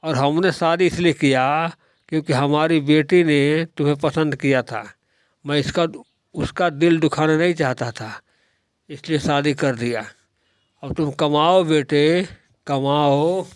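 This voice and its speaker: A man's voice: on the high side, unhurried, smooth, dry recording, lightly acted. A man voicing a stern, worldly-wise older father in a moral-story cartoon, lecturing and admonishing.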